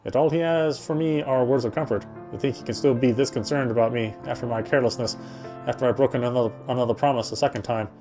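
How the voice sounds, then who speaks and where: A man reading first-person visual novel narration aloud in a soft, reflective, dramatic storytelling way. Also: medium pitch, fairly even intonation, quick pace. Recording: music behind the voice. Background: game music.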